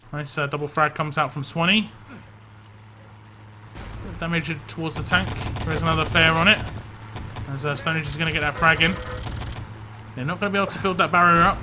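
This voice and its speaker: A man casting a video game match live, tired and losing his voice, with frequent fillers and quick self-corrections. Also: on the high side, background noise. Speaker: esports caster.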